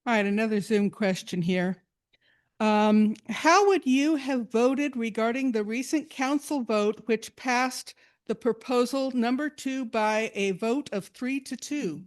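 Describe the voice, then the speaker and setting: A woman's voice: on the high side, measured pace. A woman moderating a meeting and reading out questions sent in by the audience.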